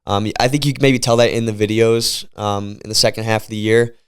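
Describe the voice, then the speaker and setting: Fast-talking congested man. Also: lowish voice, fairly even intonation, smooth. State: congested from a cold. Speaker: league commissioner. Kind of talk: casual podcast chat.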